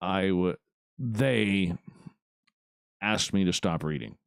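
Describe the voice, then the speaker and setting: A man's voice: low voice, slow, creaky, clear, resonant tone, dry recording, clean studio sound. A man hosting a late-night radio talk show, chatting casually and laid-back, often tacking "man" onto his sentences.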